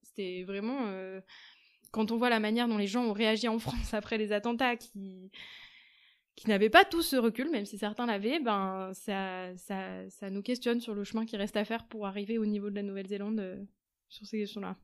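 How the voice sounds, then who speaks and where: A young woman in her twenties, a podcast guest, telling her travel experiences in a lively, halting way, often trailing off. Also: mid-range voice, smooth. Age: 20s. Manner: animated, frequent fillers, trailing off into quiet. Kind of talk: conversational storytelling.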